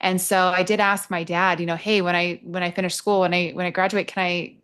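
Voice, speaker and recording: A woman's voice: on the low side, flat delivery, fairly quick, a bit bright, clear, resonant tone. A middle-aged woman from England with an American accent, telling personal stories candidly and conversationally as an interview guest. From Nottingham, England. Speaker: podcast guest, army veteran working in HR.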